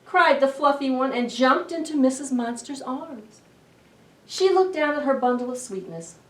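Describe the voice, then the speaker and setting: A middle-aged woman hosting a children's storytime, reading aloud in an expressive, deliberate way to young listeners. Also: high-pitched. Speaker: library storytime host. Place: indoor library children's room. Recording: roomy echo, distant camera microphone.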